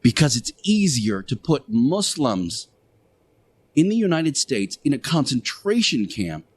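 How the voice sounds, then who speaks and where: A man's voice: mid-range voice, darkish tone. Emphatic man preaching a radio monologue. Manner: emphatic, preachy, deliberate rhetorical repetition. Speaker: radio show host playing Jesus.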